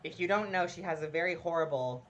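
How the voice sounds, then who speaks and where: A man's voice: a little high, fast talker, a bit bright, muffled recording, soft background music. A man casually commenting on a game he is playing live, chatting with viewers and greeting them by name, often laughing at himself. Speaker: streamer.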